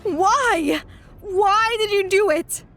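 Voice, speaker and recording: A woman voicing a young woman in a fan audio drama, shrill and emotional. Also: extremely slow, fairly bright tone, heavily acted. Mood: furious. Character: young woman, frightened and furious.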